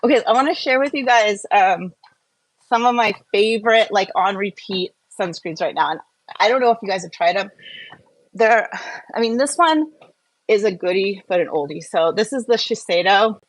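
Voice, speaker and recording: A woman with a medium-pitched voice talks like a skincare and wellness vlogger. Her delivery is casual and chatty. She rambles, interrupts herself and drifts off on small tangents as she goes. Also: expressive, moderate pace, no echo, poor audio. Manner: rambling, self-interrupting, easily distracted. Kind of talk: casual chatty live Q&A and product review.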